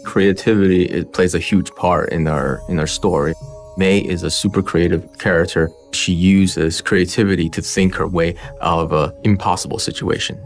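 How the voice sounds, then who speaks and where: A man's voice: deep voice, steady tone, moderate pace, faint music behind. A man reflecting on a story in a documentary interview, with small restarts. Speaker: animation director interviewed.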